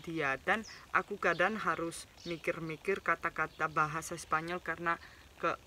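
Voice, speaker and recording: Young woman vlogger, chatty, laughs a lot, very bright tone, faint hiss behind. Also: deep voice, fairly even intonation, moderate pace. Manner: chatty, laughs often, mixes in English words. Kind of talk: casual talk to camera.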